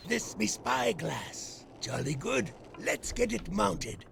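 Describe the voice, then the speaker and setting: An actor playing a scruffy, bargaining pirate castaway in a video game, with a gravelly voice and old-fashioned pirate dialect. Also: male voice, high-pitched, very animated, slow, background noise, full character voice. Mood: gruff, bargaining. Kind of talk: video game character acting.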